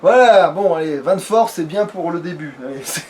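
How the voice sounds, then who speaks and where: High-pitched man, foul-mouthed joking let's player, off-mic with background noise. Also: lively intonation, brisk. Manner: swearing, colloquial, joking. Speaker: let's play gamer. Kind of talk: casual gaming commentary. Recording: distant mic, noisy background.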